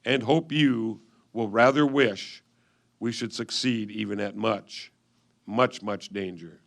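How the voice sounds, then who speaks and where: A man reading old letters aloud in a reader's theatre style, with a solemn, measured delivery. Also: lowish voice, gravelly, fairly bright tone.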